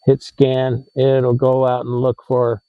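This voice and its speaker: A man hosting a how-to vlog, explaining things in a casual, conversational and slightly halting way. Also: mid-range voice, monotone, narrow, tinny audio, a little hiss or hum. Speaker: vlog host giving RV tips.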